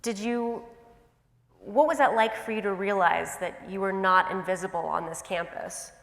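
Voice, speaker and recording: A woman professor moderating an onstage interview, asking questions in a measured way with filler pauses. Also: mid-range voice, gravelly, fairly bright tone. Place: university auditorium. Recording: roomy hall with long reverb.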